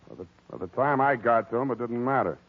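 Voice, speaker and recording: An actor playing a wry, weary hard-boiled private detective, narrating and talking in an old-time radio drama. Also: male voice, mid-range voice, expressive, quick pace. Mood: wry, terse. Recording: tinny old radio recording.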